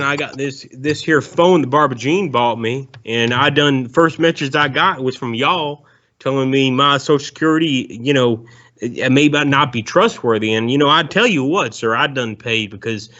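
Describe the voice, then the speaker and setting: A man doing a rambling Southern ex-convict with a thick folksy drawl, sir-ing every other breath. His voice sits at an ordinary middle pitch and comes through dull and lo-fi, like a phone call. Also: sharp tone, lightly acted. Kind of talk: prank call.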